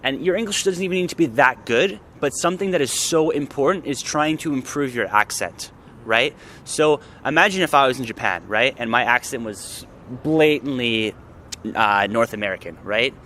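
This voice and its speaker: A man's voice: medium pitch, normal speed, a little hiss or hum. Chatty Canadian man outdoors. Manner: relaxed, talkative, many fillers. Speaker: host. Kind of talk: casual conversation.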